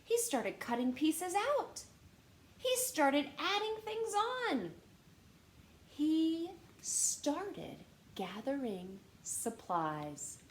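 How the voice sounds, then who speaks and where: A woman reads aloud to young listeners with expressive, teacher-like emphasis. Her voice sits fairly high and moves very slowly through the phrases. The room adds a clear echo around her. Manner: expressive teacher-like delivery, dramatic gasps and emphasis. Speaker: storytime reader. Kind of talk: animated children's read-aloud with questions and word explanations. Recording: roomy echo with faint background noise.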